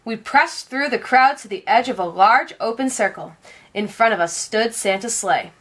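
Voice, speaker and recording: A woman reading a children's storybook aloud in an expressive, dramatic and deliberate storytelling style. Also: on the high side. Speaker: storybook reader.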